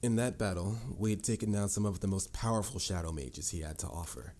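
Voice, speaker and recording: A man's voice: sits low, a little fast, mellow, close to an everyday voice. A man playing a confident, patient mage mentor in a fantasy audio roleplay, instructive and slightly formal in a theatrical way. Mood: storytelling, confident. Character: confident adult male mage mentor, patient, boastful, encouraging. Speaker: voice actor. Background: music.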